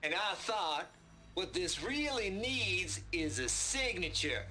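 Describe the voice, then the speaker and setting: A man's voice: sits high, big pitch swings, slow pace, bright, forceful tone, faint music behind, heavily acted. A man playing a boisterous, irreverent programmer in a video game, with a Southern US accent and a theatrical, teasing drawl. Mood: gleeful. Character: boisterous, folksy, irreverent male prankster programmer. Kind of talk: video game cutscene acting.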